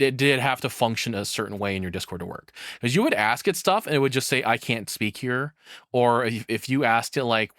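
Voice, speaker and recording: Deep-voiced man, rambling casual commentary. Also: a bit bright, dry recording, clean studio sound. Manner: rambling, sweary. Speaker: streamer reacting to a video.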